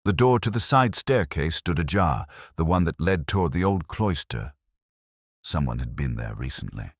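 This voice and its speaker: Very deep-voiced male narrator. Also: animated, no room sound, studio quality. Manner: measured, reflective, literary. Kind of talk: first-person detective story narration.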